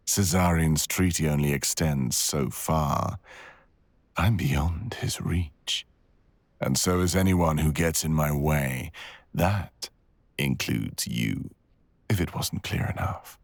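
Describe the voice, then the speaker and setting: A man playing a cruel, arrogant dragon villain in an intimate ASMR roleplay, gravelly and breathy, with a menacing, teasing drawl that slips into whispers. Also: rumbling low, takes it slowly, pauses often, no room sound, full character voice. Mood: quiet menace.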